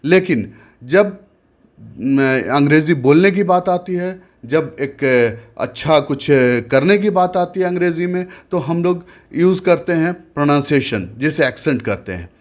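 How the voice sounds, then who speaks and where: A man's voice: on the high side, expressive, unhurried. A man who narrates a news report in a formal tone and then interviews a guest, often hesitating when he speaks off script.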